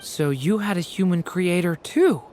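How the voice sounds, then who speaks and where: A woman playing a gentle, sincere, friendly boy in a video game, soft-hearted and earnest. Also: lowish voice, measured pace, a little hiss or hum, lightly acted. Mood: curious, considerate.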